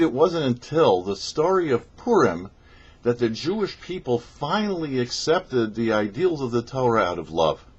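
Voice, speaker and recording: A man, a rabbi, giving scripted religious teaching in a measured, explanatory way on his show. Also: mid-range voice, breathy edge, lo-fi sound. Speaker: rabbi hosting a weekly Torah portion show.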